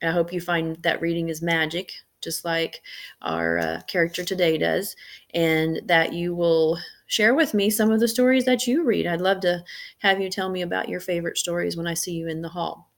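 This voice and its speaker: A middle-aged woman with a slightly low voice speaks as an educator to young pupils. She reads and presents in an expressive storytelling way, clearly pitched at children. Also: moderate pace. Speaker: school educator reading aloud to students. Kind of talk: read-aloud storytelling for children.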